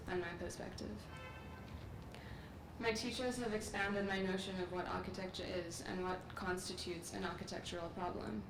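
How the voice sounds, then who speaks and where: A young woman from Sri Lanka giving a measured, earnest graduate speech at an outdoor commencement through a podium microphone. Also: on the low side, gravelly. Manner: measured, earnest, reflective delivery. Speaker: graduate student speaker. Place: large outdoor courtyard ceremony. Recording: echoey, distant, with noise.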